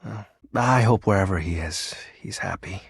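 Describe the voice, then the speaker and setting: An actor voicing a lonely, brooding personification of darkness for a video game, theatrical and grandiose yet awkwardly sincere. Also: male voice, sits low, normal speed, vocal fry, slightly rough, no room sound, full character voice. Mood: wistful, downcast. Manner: theatrical grandiose villain speech slipping into awkward, wistful sincerity. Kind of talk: dramatic video game character acting.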